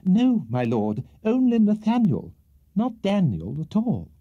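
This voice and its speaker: A man's voice: sits high, huge pitch swings, a little slow, breathy edge, highs cut off, voice pushed up, heavily acted. A man reading a storybook, voicing a timid young male witness who answers in a feeble, nervous voice. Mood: flustered.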